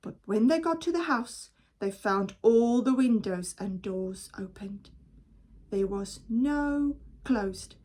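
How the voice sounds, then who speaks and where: A woman reading a children's story aloud in an animated, deliberate storytelling manner, as a teacher does. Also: a little high.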